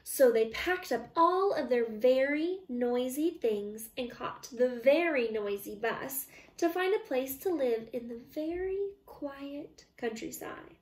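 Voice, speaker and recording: A young woman teacher reading a children's storybook aloud in an expressive, deliberate way. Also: high voice. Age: young adult. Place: small living room at home, indoors. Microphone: camera a short distance away. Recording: roomy, echoey, distant microphone.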